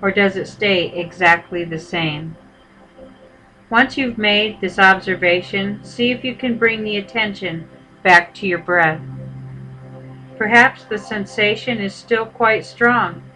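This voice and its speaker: A woman meditation teacher leading a guided meditation in an unhurried, measured way, with pauses between short phrases. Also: mid-range voice, bright, forceful tone, soft background music, low-quality recording.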